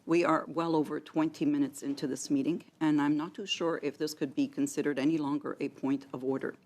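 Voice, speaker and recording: A woman with a low, gravelly voice raises formal objections in committee. She is insistent, with halting phrasing. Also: fairly even intonation, a little mellow. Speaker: member of parliament. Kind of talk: procedural objection in committee debate. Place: committee meeting room.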